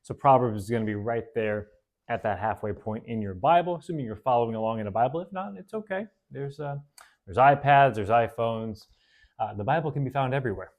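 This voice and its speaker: A man hosting a casual Bible study, talking in a relaxed, conversational way with fillers and self-corrections, and reading verses slowly and deliberately. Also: mid-range voice. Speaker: host.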